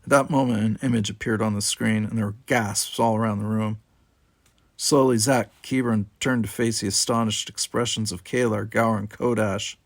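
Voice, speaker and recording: A man narrates a novel for an audiobook. His medium-pitched voice is gravelly and husky, recorded dry and close. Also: fairly even intonation, moderate pace, no room sound. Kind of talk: scripted fiction narration.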